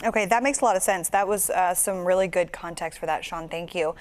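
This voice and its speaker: A woman's voice: on the low side, fairly quick. A woman news anchor conducting a live interview in a conversational, inquisitive way.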